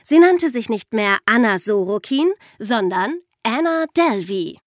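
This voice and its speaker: A woman co-hosting a scripted true-crime podcast, narrating in a lively, lightly playful way. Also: on the high side, a little slow, no room sound, clean studio sound. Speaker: podcast co-host.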